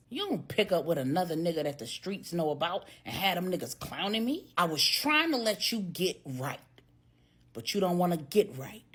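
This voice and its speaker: A bitter older American gangster played by a woman storyteller in an urban audio drama. He sounds husky, proud and menacing. His talk is taunting and full of grievance. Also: sits low, voice pushed down, full character voice. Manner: taunting, aggrieved street talk.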